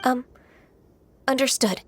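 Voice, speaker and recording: Polite, shy demigod maiden; slightly high voice over faint game music. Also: female voice, takes it slowly, noisy background. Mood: earnest. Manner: soft, hesitant and polite. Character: gentle young half-divine woman, earnest and shy. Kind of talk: video game voice acting.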